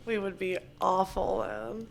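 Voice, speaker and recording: Co-host: young Southern woman, gravelly edge, giggly and talkative. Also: mid-range voice, steady tone, a little slow. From American South. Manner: laughs often, chatty, with frequent "like" fillers. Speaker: podcast co-host. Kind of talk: casual podcast chat.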